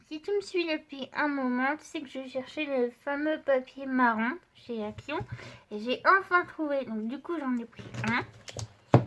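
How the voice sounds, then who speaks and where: A woman with a high voice, stuffy and congested from a cold, talks in a casual, chatty way straight to her viewers. She rambles a bit with frequent fillers while handling craft supplies, and a faint hiss sits under the recording. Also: fairly bright tone. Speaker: art channel host. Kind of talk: casual craft haul and paper test.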